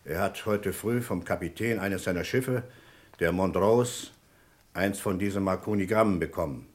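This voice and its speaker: Actor as low-voiced, deferential police inspector. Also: male voice, moderate pace, lightly acted. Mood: reporting. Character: deferential but determined police chief inspector. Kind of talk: vintage German radio crime drama acting.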